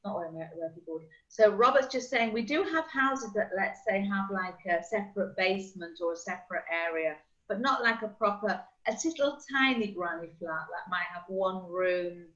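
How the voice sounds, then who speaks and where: A middle-aged woman working as a real estate agent, chatting casually and explaining things with quick examples over a call. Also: mid-range voice, expressive, fairly bright tone. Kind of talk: casual video call chat. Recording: slightly dull lo-fi call audio.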